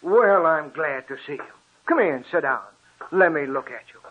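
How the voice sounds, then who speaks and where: A genial older doctor. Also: male voice, fairly high pitch, animated, normal speed, slightly rough. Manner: avuncular and reassuring. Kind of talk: 1940s radio drama acting. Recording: tinny, narrow old radio recording.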